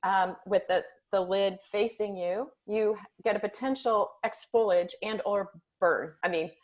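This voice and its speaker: A woman's voice: mid-range voice, steady tone, unhurried. A woman hosting a cooking show interview, giving kitchen tips and encouraging her guest. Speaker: host. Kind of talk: casual interview chat.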